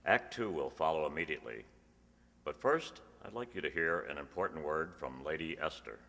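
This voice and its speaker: A man's voice: extremely low pitch, animated, moderate pace, gravelly, bright, forceful tone. A man announcing in scripted old-time radio style. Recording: echoey hall with long reverb.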